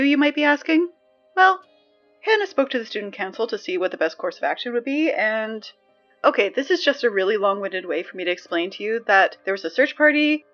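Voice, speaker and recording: A woman playing an anxious, wry high school girl reading morning announcements in an audio drama, rambling with sardonic, hesitant asides. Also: muffled recording, lightly acted. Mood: sheepish, evasive.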